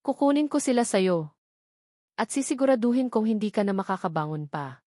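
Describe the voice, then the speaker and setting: A woman's voice: medium pitch, very animated, brisk, mellow, dry recording, clean studio sound, lightly acted. A woman voicing a haughty, threatening older rich mother, the imperious villain of an audio romance. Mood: cold, threatening.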